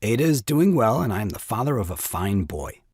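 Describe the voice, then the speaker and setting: A man's voice: fairly low pitch, very animated, a little fast, a little mellow, slightly rough, no room sound, studio quality, heavily acted. An actor playing a brazen, bluffing young husband and new father in a comic farce, glib and formal with mock sympathy. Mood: brazenly confident. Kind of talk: comic stage farce acting.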